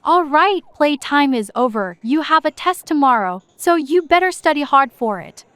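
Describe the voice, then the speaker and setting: A woman's voice: high-pitched, expressive, moderate pace, a bit bright, dry recording. A woman playing a stern, skeptical mother in a children's cartoon story, sarcastic and firm.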